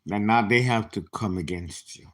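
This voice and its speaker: A man in his late fifties giving a casual tarot reading, chatty and animated, with laughing asides and dropped whispers. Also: fairly low pitch, moderate pace. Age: 50s. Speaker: tarot reader.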